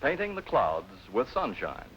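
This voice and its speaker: A man's voice: mid-range voice, huge pitch swings, measured pace, crowd noise. A man announcing puzzles on a game show in a scripted delivery. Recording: noisy muffled 1950s television soundtrack.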